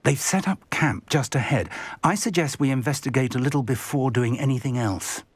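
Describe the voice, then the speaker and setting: An actor playing an older wizard mentor in a fantasy game, cautious and caring, with a husky voice and a deliberate, well-spoken manner. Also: male voice, mid-range voice, dry recording, natural voice. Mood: watchful. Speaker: mentor professor. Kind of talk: scripted fantasy game acting.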